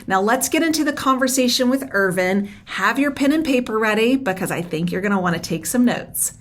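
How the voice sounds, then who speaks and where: A woman's voice: a little high, lively intonation, brisk. A woman hosting an interview podcast, speaking in an upbeat, enthusiastic and effusive way. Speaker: podcast host.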